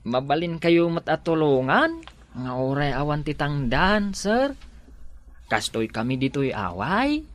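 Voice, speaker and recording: A man's voice: a little high, very animated, measured pace, fairly bright tone. A man playing a humble village man who offers to help, polite and chatty in a radio drama. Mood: eager. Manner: polite, repeatedly says sir, chatty.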